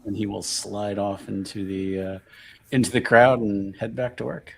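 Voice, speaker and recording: A man's voice: lowish voice, steady tone, normal speed, gravelly, breathy edge. A man chatting casually as a player in a tabletop roleplaying game.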